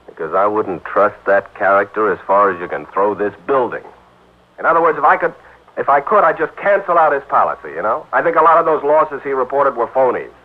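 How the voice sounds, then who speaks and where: A man's voice: medium pitch, expressive, a little fast, natural voice. Male actor, American, folksy insurance man, chummy, swinging intonation, narrow old-radio sound. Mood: confiding, grumbling. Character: chummy, grumbling married insurance company man. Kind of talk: 1950s radio drama acting. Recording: old band-limited radio broadcast recording.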